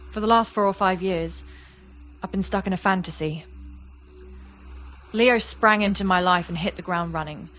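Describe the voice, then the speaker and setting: A woman's voice: on the low side, normal speed, pauses often, fairly bright tone, natural voice. A woman playing a reflective young artist in an audio play, speaking in an earnest, confessional way. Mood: reflective, candid. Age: young adult. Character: young woman artist, reflective, warm, wistful about an old friend. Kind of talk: audio play monologue and dialogue.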